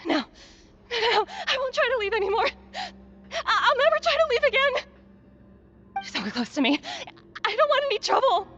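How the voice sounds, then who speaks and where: A woman's voice: very high voice, big pitch swings, moderate pace, long pauses, a bit bright, muffled recording, full character voice. A woman playing a frightened, traumatized prisoner in a video game, tearful, panicked and distrustful. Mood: terrified, pleading. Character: terrified, traumatized female prisoner, cowering and distrustful.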